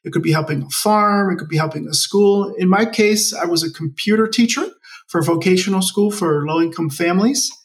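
Higher-voiced American man, slightly distant. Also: brisk. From Maryland, United States. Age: 40s. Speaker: interview guest, nonprofit project leader. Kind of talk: conversational personal storytelling. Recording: distant from the mic.

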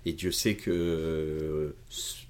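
A man's voice: extremely low pitch, level tone, slow, darkish tone. A man hosting a casual interview, often repeating words as he feels his way towards a question. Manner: hesitant, repeats words while forming questions. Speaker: podcast host, interviewer.

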